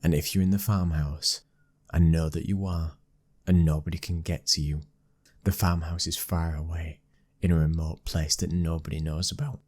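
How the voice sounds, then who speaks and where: Young British mafia heir, played by a man, commanding yet loving. Very low, breathy-husky, restrained and level, for intimate ASMR roleplay. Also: level tone, moderate pace, a little mellow. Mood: stern, protective. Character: commanding, protective young male mafia boss's son, loving husband.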